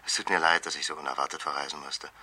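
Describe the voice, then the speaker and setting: An actor playing an evasive, soothing but dismissive husband over the telephone in a radio thriller. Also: male voice, extremely low pitch, level tone, racing, bright, forceful tone, breathy edge, heavily acted. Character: smooth, evasive husband calling his frightened wife. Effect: telephone filter. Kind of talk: 1970s German radio thriller acting.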